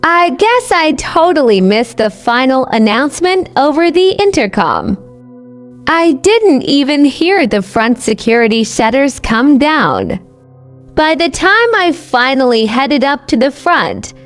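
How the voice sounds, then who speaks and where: A woman narrating a scripted first-person horror story in a conversational but deliberate, dramatic way. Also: sits high. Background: music bed.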